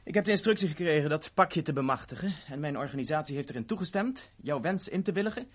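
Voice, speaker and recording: A man's voice: fairly high pitch, animated, fast talker. A man acting in a 1970s Dutch radio play as an urbane, wry agent who delivers threats politely and matter-of-factly. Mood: suave, menacing. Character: urbane, coolly menacing male agent of a ruthless organisation.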